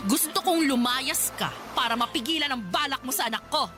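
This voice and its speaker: A woman's voice: high voice, fairly quick, close to an everyday voice. A woman playing a haughty, scornful rich mother who bosses people around in a Filipino radio drama. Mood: stern, contemptuous. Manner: scornful, commanding, melodramatic. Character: haughty, domineering rich mother scorning her son's love. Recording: music bed under dialogue.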